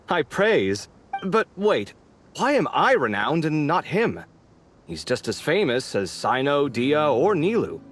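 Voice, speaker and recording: A man voicing an expressive young architect in a video game, theatrical, sarcastic and quick to bicker. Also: fairly high pitch, a little hiss or hum. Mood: amused, questioning. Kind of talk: video game character dialogue.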